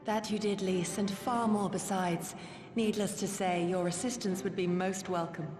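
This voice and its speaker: Female actor as loyal, earnest resistance operative for fantasy game; measured old-fashioned diction, medium pitch, reverberant hall. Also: steady tone, natural voice. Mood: sincere. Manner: formal, measured, courteous. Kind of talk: scripted game voice acting in archaic formal English. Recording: echoey hall reverb under clear music.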